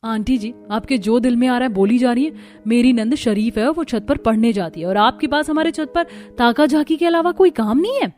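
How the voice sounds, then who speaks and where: A woman plays a protective young sister-in-law in a cartoon family drama. The voice is fairly high, earnest and motherly, ready to scold gently. Quiet music sits underneath. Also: a little fast, close to an everyday voice. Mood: indignant, stern. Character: caring, dutiful young daughter-in-law, protective and worried.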